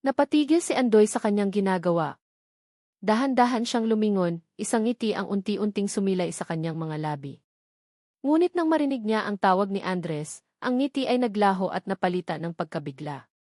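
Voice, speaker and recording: A woman's voice: mid-range voice, lively intonation, normal speed, darkish tone, no room sound, studio quality. A woman narrating a scripted dramatic love story.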